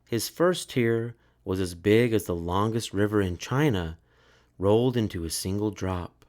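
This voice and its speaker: A man reading a children's story aloud in a measured, expressive way. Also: on the low side. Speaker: storybook narrator.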